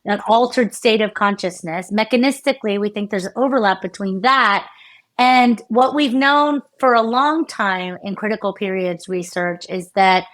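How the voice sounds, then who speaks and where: Casting: American woman researcher, middle-aged, lively and chatty, frequent fillers and self-corrections. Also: mid-range voice, moderate pace. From United States. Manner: animated, many fillers and restarts, laughs often. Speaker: neuroscientist guest. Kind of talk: conversational interview explanation.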